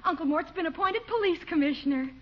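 Polite eager niece, high rapid speech, old radio comedy, dull recording. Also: female voice, lively intonation. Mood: proud. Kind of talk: 1940s radio comedy acting. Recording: muffled, faintly noisy old radio recording.

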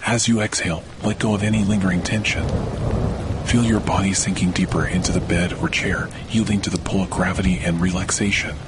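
A man guiding a scripted sleep meditation in a soothing, measured manner. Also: low-pitched, steady tone, noisy background. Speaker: podcast host, meditation guide. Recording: rain and thunder behind voice. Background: rain and distant thunder.

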